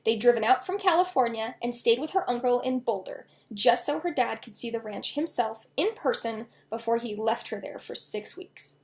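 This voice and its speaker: A woman author reading her own children's novel aloud in an expressive storytelling style. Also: a little high, normal speed, few pauses.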